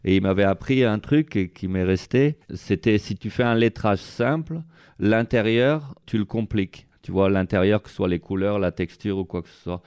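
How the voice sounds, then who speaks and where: A man's voice: lowish voice, moderate pace, darkish tone, clean tone. A man being interviewed as a street artist, talking casually and rambling with many fillers. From France.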